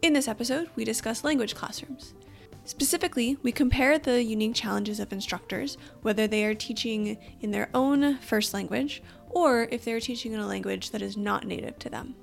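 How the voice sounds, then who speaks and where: A woman's voice: fairly high pitch, a little fast, gravelly, soft background music. A woman hosting a podcast, reading her prepared introduction and questions evenly. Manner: reads prepared lines evenly. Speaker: podcast host.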